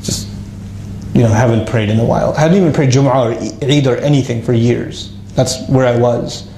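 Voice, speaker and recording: A man casually telling his life story to an audience, lively and self-mocking, with frequent restarts. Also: mid-range voice, a little fast, roomy sound, a little hiss or hum, poor audio.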